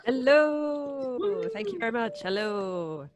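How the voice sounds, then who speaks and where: English woman with a lively, swooping voice. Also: high voice, takes it slowly. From England. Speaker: voice actor, podcast cast member. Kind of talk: casual chat.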